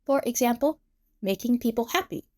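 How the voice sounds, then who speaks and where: A woman voicing a sweet, encouraging young female singer with a gentle, coaxing manner in a story reading. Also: a little high, animated, normal speed, natural voice. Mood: gently firm.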